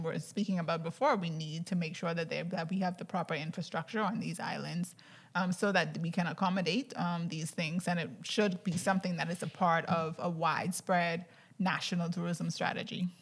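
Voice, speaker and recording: A Bahamian woman speaks as a panelist in a discussion. Her pitch is a little low, and her delivery is informal and chatty, like everyday conversation. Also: a little fast. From Andros, Bahamas.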